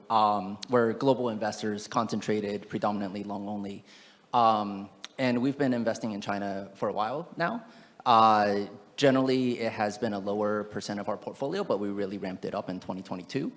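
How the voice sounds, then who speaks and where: A man raised in the United States, a fund manager introducing himself on a panel in a brisk, matter-of-fact way. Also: fairly low pitch. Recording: long hall reverb.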